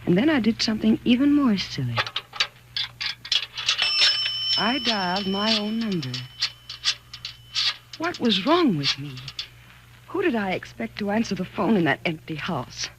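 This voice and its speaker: An actress in a 1940s radio thriller playing a frightened, anxious wife, with a dramatic, halting delivery. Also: female voice, medium pitch, big pitch swings, close to an everyday voice. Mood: troubled. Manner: dramatic, halting and stammering when afraid. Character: frightened, anxious wife narrating her growing dread. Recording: noisy old 1940s radio recording.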